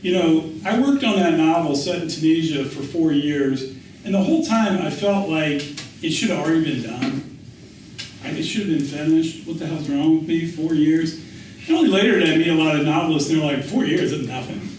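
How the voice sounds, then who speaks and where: In a very echoey room, picked up from a distance, a middle-aged American man speaks in a slightly high voice. He talks informally like an author answering questions, telling anecdotes and circling back over his phrases. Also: fairly quick, a little mellow. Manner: conversational, anecdotal, often restarts and repeats phrases for emphasis. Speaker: author and writing teacher speaking at a reading. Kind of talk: informal spoken Q&A after a reading. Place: indoor reverberant event room. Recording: echoey room, distant mic, faint noise.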